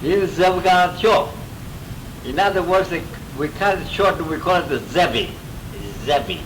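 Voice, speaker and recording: An older man in his eighties, from Greece, recalls his early life in a rambling way, chuckling between memories. His voice sits a bit high for a man and is heard from well off the mic. The room rings, and a steady hiss runs under the home tape. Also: moderate pace, roomy sound, far from the mic. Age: 80s. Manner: chuckling, rambling storyteller with restarts. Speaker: interviewee, grandfather. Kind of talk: casual oral-history interview.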